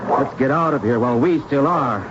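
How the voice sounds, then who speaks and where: A man's voice: medium pitch, fairly quick, loud music behind the voice, lightly acted. An actor playing a resolute, protective young male doctor in a 1970s radio drama. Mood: grim, firm. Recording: noisy, muffled old radio recording.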